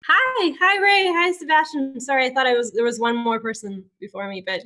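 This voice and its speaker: A young woman from Ecuador pitching her product informally on a video call as a founder, with halting, hesitant phrasing. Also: sharp tone. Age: young adult. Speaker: startup founder, guest. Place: indoor room at home. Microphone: laptop video call.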